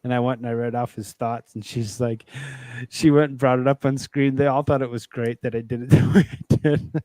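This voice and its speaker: A man's voice: medium pitch, steady tone, a little fast, darkish tone, dry recording. A man hosting a casual online talk show, speaking in a loose conversational way. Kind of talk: casual political chat.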